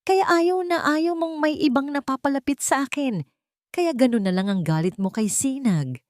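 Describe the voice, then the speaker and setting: A woman's voice: high voice, moderate pace, no echo, clean studio sound, pitched above the performer's own voice, lightly acted. A woman voicing the firm, protective lead of a love story, a wronged grown child speaking earnestly. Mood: accusing, firm.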